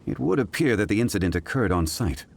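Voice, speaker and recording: A composed, learned gentleman from a video game, speaks in a very deep voice. His phrasing is formal and deliberate, with richly varied intonation over faint ambience. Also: expressive, gravelly, a little hiss or hum. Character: calm, erudite, dignified gentleman investigator. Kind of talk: video game character acting.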